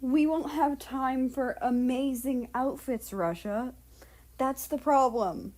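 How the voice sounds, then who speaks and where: An excitable teenage girl, as a woman narrator acts her out in a story reading. She is high and loud, gushing and squealing with dramatic flair. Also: slow pace. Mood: excited.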